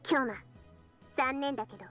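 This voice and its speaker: A young catgirl from an anime visual novel, extremely high and very quick. She is cutesy and playfully earnest, tacking cat-like endings onto her sentences. Soft music runs underneath. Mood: dramatic, playful. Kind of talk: Japanese visual novel voice acting. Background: game music.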